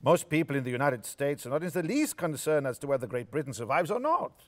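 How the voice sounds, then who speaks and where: An actor playing a courteous, smug German occupying officer with a formal, measured, condescending manner in a staged play reading. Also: male voice, on the high side, lively intonation, lightly acted. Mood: smug, dismissive. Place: theatre stage before an audience.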